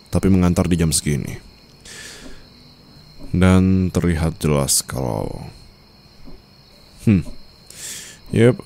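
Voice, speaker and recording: Casting: male actor as composed mafia boss husband for ASMR roleplay, very deep, unhurried, formal, night ambience behind. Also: dark tone, a little hiss or hum. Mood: amused, wary. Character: cool, controlling young mafia boss, newly married husband. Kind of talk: Indonesian ASMR roleplay acting.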